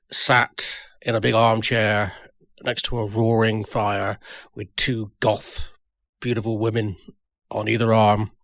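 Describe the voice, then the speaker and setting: A man's voice: on the low side, creaky, fairly bright tone, no echo. A man giving a personal spoken tribute to a friend, reminiscing in a self-deprecating way. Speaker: writer paying tribute to a friend.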